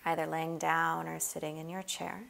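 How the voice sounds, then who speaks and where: Low, creaky-voiced woman guiding meditation. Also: level tone, slight background noise. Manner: gentle, unhurried instruction with long pauses. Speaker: meditation guide. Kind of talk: guided body scan meditation.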